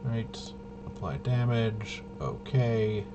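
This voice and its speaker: A man thinking aloud through a tabletop game walkthrough, casually muttering to himself in a halting way and trailing off. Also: on the low side, expressive, lo-fi sound, background noise. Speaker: solo tabletop RPG player demonstrating gameplay.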